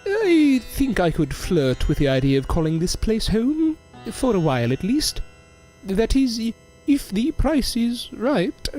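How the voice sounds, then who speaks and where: Man playing a high-voiced, genteel gentleman entertainer. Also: sing-song, a little slow, rough recording. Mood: coy, polite. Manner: very polite, formal, flowery phrasing. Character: genteel, modest male ventriloquist, courteous and flattering. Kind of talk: scripted audio drama acting. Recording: music behind the voice.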